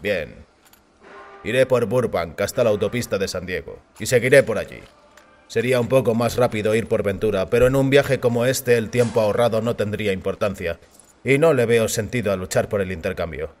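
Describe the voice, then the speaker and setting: A man voicing a kindly but firm, grieving middle-aged father in an audiobook, measured and tense. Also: lowish voice, lightly acted. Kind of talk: audiobook character voice.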